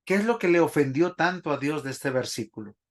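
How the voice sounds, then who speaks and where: A man's voice: fairly high pitch, lively intonation, a little fast, a bit bright. A man teaching a Bible class in a church, preaching and putting questions to the congregation. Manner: didactic, asks the congregation questions.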